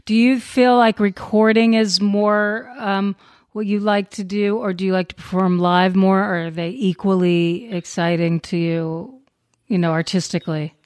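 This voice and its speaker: A woman hosting an interview, asking questions and praising with slow, emphatic enthusiasm. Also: medium pitch, steady tone, darkish tone, smooth, no echo, clean studio sound. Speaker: podcast host.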